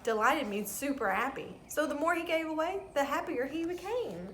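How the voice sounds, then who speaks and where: A woman's voice: sits high, moderate pace. A woman reading a children's story aloud expressively, with simple teacherly asides. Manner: expressive, explains words to children. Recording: roomy echo, some background noise.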